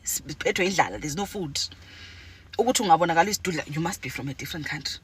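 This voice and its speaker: A woman's voice: sits low, expressive, very fast, slight background noise. A young woman from Zimbabwe speaking candidly and with animation, switching between languages mid-sentence, blunt and sometimes profane. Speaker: interviewee. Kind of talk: candid talk on camera.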